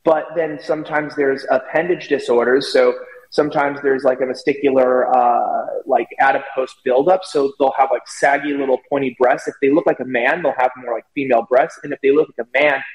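A man with a mid-pitched voice talks as an opinionated guest commentator. He rambles through long casual explanations. The sound is echoey, with a long reverb around his voice. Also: fairly even intonation, fairly quick. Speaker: guest commentator on a talk show.

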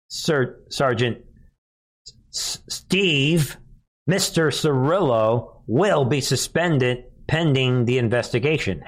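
An American man hosting live political commentary, speaking emphatically and derisively and repeating phrases for effect. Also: mid-range voice. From United States. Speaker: news commentary show host.

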